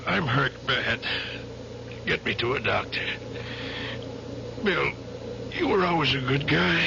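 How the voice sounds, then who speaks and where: An actor playing a wounded, fearful male ex-soldier who pleads and denies, in 1970s radio drama style, with a gravelly voice. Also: medium pitch, lively intonation, takes it slowly. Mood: pleading. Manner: pleading, defensive. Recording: dull old radio broadcast with hiss.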